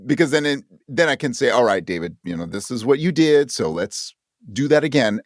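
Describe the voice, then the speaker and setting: A man with a medium-pitched voice talks as a guest in an interview. He thinks aloud in a halting, conversational way, quoting his own thoughts as he goes. The sound is dry, with little room on it. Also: expressive, no room sound. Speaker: interview guest, audio drama writer. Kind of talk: conversational interview about writing.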